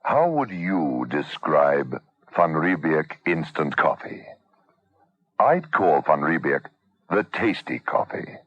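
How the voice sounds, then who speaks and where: Deep husky guy doing an old radio commercial, slow and unhurried, bit muffled. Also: big pitch swings, a bit bright, slight background noise. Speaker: commercial announcer. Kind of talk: vintage radio advertisement read. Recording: dull vintage radio recording.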